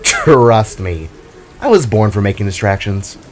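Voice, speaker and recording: A man's voice: fairly low pitch, lively intonation, measured pace. Bold, teasing heroine for an audio drama, acted out by a man, slightly noisy recording. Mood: playful, confident. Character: playful, confident female guitarist adventurer.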